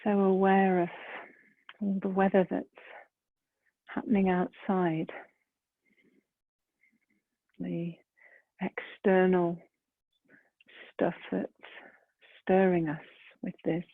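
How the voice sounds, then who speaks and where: A woman leading a guided meditation in a gentle, soft, breathy voice, unhurried, with long pauses between phrases. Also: fairly low pitch, flat delivery, no echo. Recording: muffled phone-like lo-fi sound.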